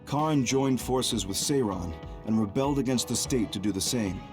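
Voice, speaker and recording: An actor playing a stern, calm military commander and scheming reformer in an English game dub, speaking formally and deliberately. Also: male voice, medium pitch, close to an everyday voice. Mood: grave, resolved. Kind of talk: English-dubbed video game cutscene acting. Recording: music behind the voice.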